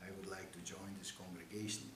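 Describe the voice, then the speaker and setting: A man in his seventies from Belgium with a Flemish accent, a native Dutch speaker, telling history in an interview in a halting, repetitive way as a missionary priest. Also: deep voice, steady tone. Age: 70s. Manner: halting, repeats phrases and affirmations. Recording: echoey room with background hiss.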